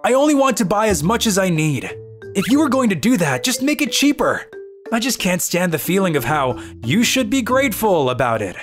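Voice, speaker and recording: A man's voice: sits high, big pitch swings, fairly quick, fairly bright tone, soft background music. A man playing a weary, cynical young office worker who grumbles and narrates his own manga story in a dry, exasperated way. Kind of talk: dubbed manga story narration in first person.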